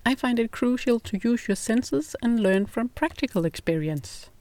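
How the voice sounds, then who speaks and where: Calm Danish-accented woman, dry close sound. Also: a little high, normal speed, no echo. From Denmark. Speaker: podcast host. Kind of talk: scripted podcast narration.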